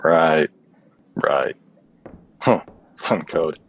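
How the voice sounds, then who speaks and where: An actor playing a bitter, boozy, clueless android-hunting detective in a noir radio play, with a hard-boiled, melodramatic deadpan. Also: male voice, a bass voice, drawn-out words, breathy edge, full character voice, poor audio. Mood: clueless, earnest.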